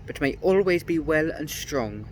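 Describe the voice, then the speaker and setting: A man's voice: a little high, expressive, unhurried, noisy background, voice pushed up. A man voicing an earnest young boy in a storybook reading.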